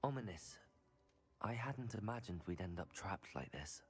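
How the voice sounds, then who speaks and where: A man playing a calm, earnest teenage student wizard hero in a scripted video game. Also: deep voice, moderate pace, soft background music. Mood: sympathetic.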